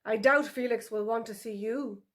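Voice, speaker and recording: A woman's voice: on the high side, normal speed, fairly bright tone, lightly acted. A woman voicing a surly, suspicious mother boar in a children's animal story, curt and snappish.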